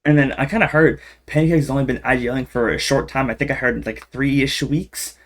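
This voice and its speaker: A man's voice: medium pitch, fairly even intonation, brisk, a bit bright. A man hosting an esports interview, asking questions in a casual, upbeat way.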